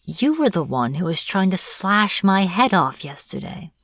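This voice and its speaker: A woman playing a smug, teasing witch in an audio roleplay, taunting a captive in a playful, deliberate manner. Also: on the low side, big pitch swings, dry recording, clean studio sound, heavily acted. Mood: teasing menace. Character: smug teasing young-sounding witch, captor of a hunter. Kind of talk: audio roleplay, acted monologue to the listener.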